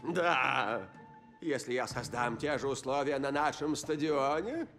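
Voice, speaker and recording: An actor voicing a scheming, gleeful old villain scientist in a dubbed cartoon, with theatrical delivery. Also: male voice, mid-range voice, expressive, measured pace, fairly bright tone, faint music behind. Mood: excited scheming. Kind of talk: Russian cartoon dub villain.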